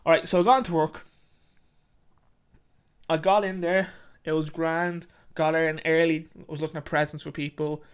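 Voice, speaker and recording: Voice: medium pitch, little pitch movement, moderate pace, smooth, close to an everyday voice. A narrator acting a dejected, rambling young Irish man. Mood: flustered. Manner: rambling, hesitant, weary.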